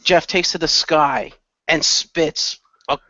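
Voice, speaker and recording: A man's voice: a little high, fairly even intonation, slow, sharp tone, dry recording, lo-fi sound. A man playing a role-playing game, improvising third-person narration and speaking in character.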